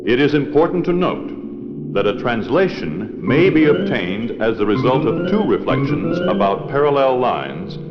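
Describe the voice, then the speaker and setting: A man narrating an educational film from a script in a measured, deliberate, precise manner. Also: medium pitch, big pitch swings. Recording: dull old 16mm film soundtrack, long reverb, loud music.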